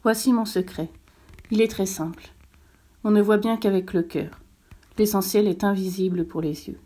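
A woman reading aloud and voicing a wise, patient fox who speaks in a calm, measured way. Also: medium pitch, lightly acted.

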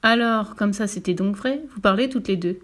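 A woman's voice: medium pitch, normal speed, fairly bright tone, natural voice. A woman voicing a blustering, wily male circus director in a children's story, with brusque interjections. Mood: persuasive.

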